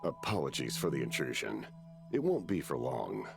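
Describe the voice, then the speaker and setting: An actor playing a grave, courteous military captain in a video game, formal and measured with a gravelly voice. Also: male voice, rumbling low, huge pitch swings, full character voice. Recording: music behind the voice. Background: game music.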